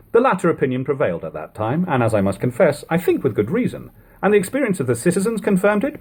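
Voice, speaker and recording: A man reading aloud as an audiobook narrator, with a measured, expressive literary delivery and a slightly husky voice. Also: medium pitch. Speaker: audiobook reader. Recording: lo-fi home recording.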